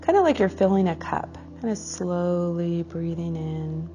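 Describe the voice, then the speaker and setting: Slow, low-voiced woman guiding meditation. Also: faint music behind. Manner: soothing, unhurried, drawn-out phrasing with soft trailing endings. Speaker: guided meditation leader. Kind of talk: slow guided meditation for a group class.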